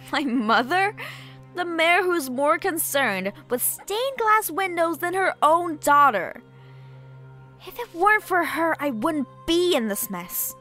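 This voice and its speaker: A woman's voice: extremely high pitch, sing-song, slow pace, sharp tone, faint music behind, heavily acted. A woman playing a proud, bitter young pony princess in a radio drama, curt and dismissive. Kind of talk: fan radio drama acting.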